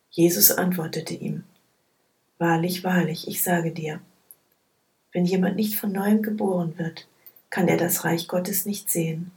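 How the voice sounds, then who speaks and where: Slow, low-voiced woman reading quietly. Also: creaky, poor audio. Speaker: scripture reader.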